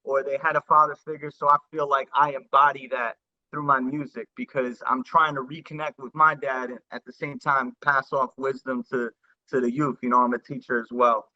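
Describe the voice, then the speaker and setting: A man in his thirties from the New York and New Jersey area, a rapper telling his story in a casual interview, earnest and halting with many restarts. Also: medium pitch, flat delivery, pushed and bright. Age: 30s. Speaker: rapper guest. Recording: dull lo-fi sound.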